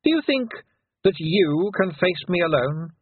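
A man's voice: high voice, very animated, measured pace, slightly rough, no room sound, narrow, tinny audio, voice pushed up, exaggerated voice. A man voicing a cold, menacing fairy queen who mocks a girl. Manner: mocking, icy.

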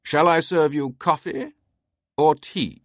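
A man voicing a theatrical, playful British aristocrat in an audiobook, with a crisp, light voice and a mock-grand British accent. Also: fairly high pitch, slow, dry recording, clean studio sound, pitched above the performer's own voice. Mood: playfully pompous. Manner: pompous, dramatic, mock-solemn.

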